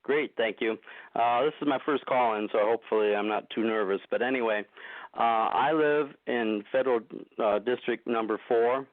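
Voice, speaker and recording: A man's voice: medium pitch, normal speed, dry recording. A man calling in with questions, speaking casually, politely and a little hesitantly. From United States, living in Ohio. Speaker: first-time caller. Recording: narrow dry phone line.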